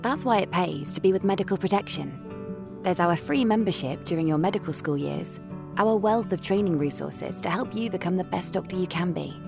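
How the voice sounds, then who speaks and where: Woman, ad read, persuasive, low gravelly tone, guitar music behind. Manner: polished, persuasive. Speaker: ad voice-over. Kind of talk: scripted advertisement read. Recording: music bed under voice.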